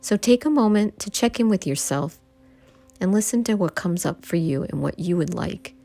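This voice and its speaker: A woman guiding a yoga nidra meditation, giving gentle instructions in short phrases with pauses between them. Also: lowish voice, animated, creaky. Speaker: meditation guide. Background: background music.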